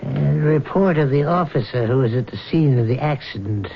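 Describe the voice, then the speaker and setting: A doctor in an American radio drama of the 1970s. He speaks in a measured, firm and reassuring manner, at a middling pitch. The sound is dull and lo-fi, like an old broadcast. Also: creaky, slightly rough. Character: calm reassuring male doctor treating a haunted young woman.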